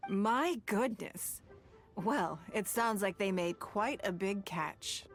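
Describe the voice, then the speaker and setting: An actor, a woman, playing a poised, gracious and shrewd noblewoman in a video game, composed and measured. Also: mid-range voice, expressive, faint music behind. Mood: gently instructive.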